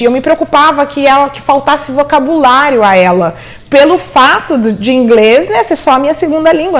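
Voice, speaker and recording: A middle-aged immigrant mother and host, encouraging parents about homeschooling, mixing English words into her talk. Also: high-pitched. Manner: warm, encouraging, mixes English words into Portuguese.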